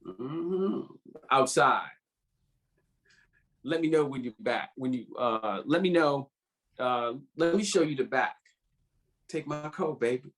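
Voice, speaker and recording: A man's voice: mid-range voice, animated, slow, long pauses, fairly bright tone. A man playing a laid-back, bantering old lover in a drama script read, with an American accent and casual, slangy speech. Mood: casual, flirtatious. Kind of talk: informal screenplay table read.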